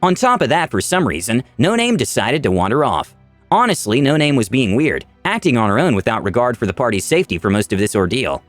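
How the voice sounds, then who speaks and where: A man's voice: mid-range voice, very animated, fairly quick, dry recording. A man narrating a scripted first-person fantasy adventure story with dramatic delivery. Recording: background music under dry voice.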